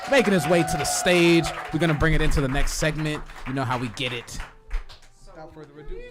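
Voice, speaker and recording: A man working as an event emcee, informally and loudly hyping the room and introducing acts from the stage. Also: fairly high pitch, fast.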